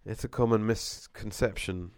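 Male vlogger, low voice, dry close sound, slightly husky from a cold, rambling casual chat. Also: steady tone, moderate pace, darkish tone, dry recording. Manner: meandering, with many restarts and asides. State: has a cold, losing his voice.

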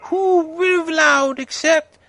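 A man voicing a whiny, foul-mouthed teenage girl author, petulant and gushing, with drawn-out bratty delivery in a fan fiction reading. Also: very high voice, bright, forceful tone, no echo, pitched above the performer's own voice, full character voice.

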